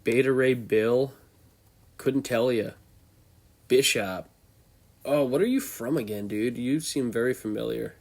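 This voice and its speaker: A man's voice: medium pitch, a little slow, pauses often, darkish tone. A young man with a congested voice, hosting a casual, off-the-cuff tier-list commentary and thinking aloud as he goes. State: congested from a cold. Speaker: host.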